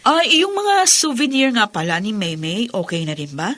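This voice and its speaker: A woman's voice: fairly high pitch, animated, normal speed, a bit bright. An actress playing a stoic, dutiful widowed working mother in a radio drama, matter-of-fact and polite, with a Filipino accent. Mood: brisk, reflective.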